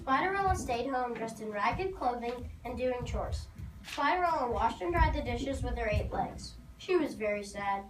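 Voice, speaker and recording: High-voiced woman narrating in an echoey hall. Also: expressive, moderate pace. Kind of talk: scripted storybook narration for readers theater. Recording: noisy, echoey hall from far off.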